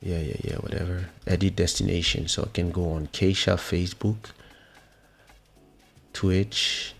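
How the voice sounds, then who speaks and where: A man with a very low voice hosts a casual livestream, talking slowly and thinking aloud. He pauses often and mutters along, close to the microphone, with some music playing behind him. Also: fairly even intonation, creaky. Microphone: close podcast microphone.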